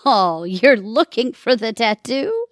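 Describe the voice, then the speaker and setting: A woman playing a wry, expressive middle-aged wife. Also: a little high, slow pace, no echo, studio quality. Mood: teasing. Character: Annie, wry, affectionate, long-suffering middle-aged wife. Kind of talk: audio theatre comedy acting.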